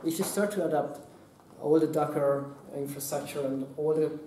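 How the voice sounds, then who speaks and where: A man walks a conference audience through a hands-on workshop. His voice is somewhat high and mellow, and his delivery stops and restarts around fillers. He is heard from some distance in an echoey room. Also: fairly even intonation, slight background noise. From Hungary. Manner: halting, many fillers and restarts. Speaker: workshop presenter. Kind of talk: conference talk.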